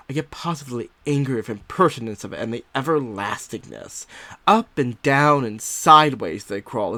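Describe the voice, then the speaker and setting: A man playing an anxious, confined young wife from the nineteenth century, reading her diary as a dramatic audiobook with fretful, drawn-out, theatrical delivery. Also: medium pitch, a bit bright, no echo, lightly acted. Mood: agitated, fascinated.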